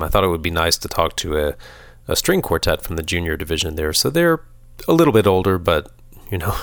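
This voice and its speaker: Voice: very deep voice, fairly bright tone. An interviewer hosting an informal on-location conversation, talking in an encouraging, conversational way. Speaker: interviewer, host. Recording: busy crowd chatter behind. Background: crowd chatter.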